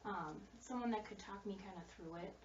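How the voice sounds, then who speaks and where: Brief: soft-spoken woman counselor, encouraging, lots of "okay" and "sure"; muffled, roomy recording with faint hiss. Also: mid-range voice, fairly quick. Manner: gentle, reassuring, frequent fillers and affirmations. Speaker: school social worker. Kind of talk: counseling role-play. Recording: distant, roomy, dull recording.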